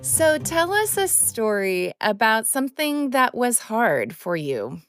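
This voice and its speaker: A woman hosting a podcast interview, asking her questions slowly and deliberately. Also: a little high, very animated, soft background music. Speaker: podcast host.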